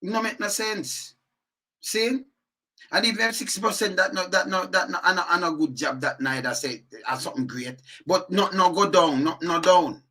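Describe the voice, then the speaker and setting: A man's voice: high voice, moderate pace. A Jamaican man in patois giving heated, repetitive commentary as the channel host. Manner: animated, repetitive, indignant. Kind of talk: ranting commentary.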